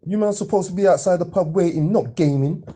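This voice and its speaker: A man voicing a bossy young lad, pitched up and dark, with a husky edge. He talks in impatient street slang in a spoken story. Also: brisk. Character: bossy young male London gang member.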